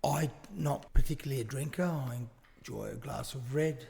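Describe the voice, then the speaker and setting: A man tells stories on a podcast with dry humor. His voice has a gravelly, crackly edge. The room around him rings with a long, echoing reverb. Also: medium pitch, normal speed, dark tone. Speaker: podcast guest. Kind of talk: casual chat.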